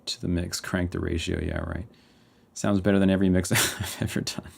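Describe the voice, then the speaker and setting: Deep, gravelly West Coast Canadian man. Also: level tone, a little fast. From British Columbia, Canada. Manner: self-critical, muttered notes to himself. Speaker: music producer. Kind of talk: casual thinking aloud while mixing. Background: faint music playback.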